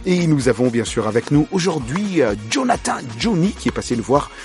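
A man's voice: on the high side, sing-song, fairly quick, a little mellow, faint music behind. A man hosting a radio show in a studio, chatting playfully and laughing with his guest. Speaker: radio host. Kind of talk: casual radio chat.

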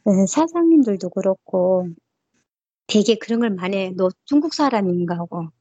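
A woman's voice: mid-range voice, animated, normal speed, warm tone, no room sound. North Korean woman, dull dry recording. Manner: emotional storytelling, frequent fillers and restarts. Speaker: interviewee. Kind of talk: personal recollection in an interview.

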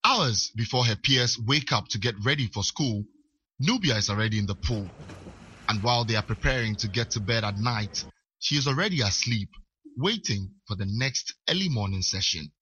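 Man, sports reporter, scripted narration, bright tone, faint noise. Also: mid-range voice, animated, moderate pace, dull audio.

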